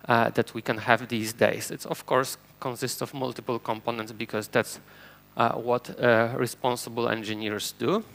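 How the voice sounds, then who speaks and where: A man's voice: medium pitch, creaky, fairly bright tone. A man from Poland with a Polish accent, whose first language is Polish, giving a technical conference talk from a stage and explaining step by step with fillers and restarts. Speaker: conference speaker. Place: conference stage before an audience.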